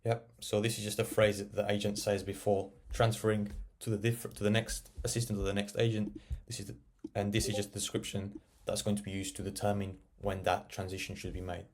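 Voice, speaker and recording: Fast-talking man with a lowish voice. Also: level tone, a little hiss or hum. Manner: explanatory, thinking aloud while working. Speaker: tech tutorial presenter. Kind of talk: casual screen-share tutorial narration.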